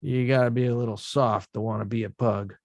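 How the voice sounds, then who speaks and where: A man reading the part of a rough-talking young male boxer at a script table read, slangy and self-mocking. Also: medium pitch, fairly even intonation, a little fast, a little mellow, no echo, clean studio sound, lightly acted.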